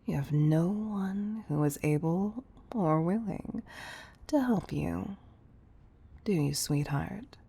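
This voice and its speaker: Slow gravelly lady boss voiced by a woman, bossy billionaire ceo type, condescending, audio roleplay. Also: on the low side, expressive, dark tone, no echo, full character voice. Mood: condescending pity. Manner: condescending, commanding, deliberate. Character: domineering billionaire tech CEO woman, condescending tough love. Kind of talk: intimate scripted audio roleplay.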